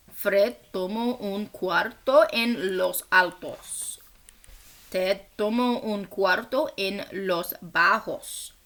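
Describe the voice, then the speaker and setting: Slow, animated woman reading to children. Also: mid-range voice. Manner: deliberate, expressive, acts out short quotes; encouraging asides and questions to young listeners. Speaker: first grade teacher reading aloud. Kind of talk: slow read-aloud of a bilingual children's picture book.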